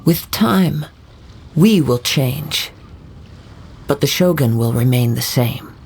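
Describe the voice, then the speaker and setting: A calm, gravelly young samurai. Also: male voice, mid-range voice, heavily acted. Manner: calm, measured, poetic. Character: calm young male wandering samurai, poetic. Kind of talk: video game voice acting. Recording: soft game music underneath.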